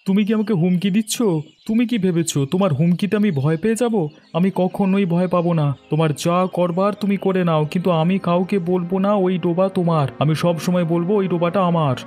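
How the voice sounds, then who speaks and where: Cartoon farmhand, male actor: higher male register, soft dark tone, self-interested, assured. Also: brisk, soft background music. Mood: defiant. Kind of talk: Bengali cartoon story dialogue.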